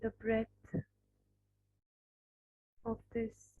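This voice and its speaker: A woman leading a guided meditation, speaking softly with long pauses between phrases. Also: phone-quality sound, slight background noise. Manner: slow, soft, pausing between phrases.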